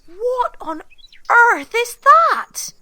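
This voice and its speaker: Earnest, starstruck young woman, eighteen: bright, animated, audio drama. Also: very high voice, extremely slow, no room sound, full character voice. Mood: exasperated. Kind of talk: naturalistic audio drama acting.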